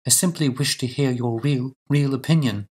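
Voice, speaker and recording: Anxious, insistent Victorian wife for audiobook, male reader, raised pitch, formal and emphatic. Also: moderate pace, a little mellow, husky, natural voice. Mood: insistent, composed. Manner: formal, emphatic, precise diction. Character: earnest, composed but anxious Victorian wife, pleading and insistent. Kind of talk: audiobook character dialogue.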